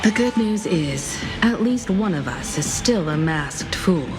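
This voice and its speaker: A woman's voice: fairly low pitch, animated, a little slow. A woman playing a composed young heroine in a fantasy video game, with a dry, matter-of-fact delivery. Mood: wry, questioning. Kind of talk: video game character acting. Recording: loud music under the voice.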